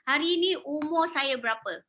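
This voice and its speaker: A woman's voice: high-pitched, moderate pace, bright, forceful tone. A woman in her forties with a Malaysian accent, giving an informal live sales presentation in an emphatic, repetitive way, often checking in with listeners through questions. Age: 40s. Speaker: product presenter and sales promoter.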